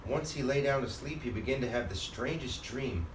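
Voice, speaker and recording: A man reading a children's storybook aloud as a father and host, in an expressive manner. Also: mid-range voice, moderate pace. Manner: expressive, acts out character lines. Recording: roomy, distant mic, faint background noise.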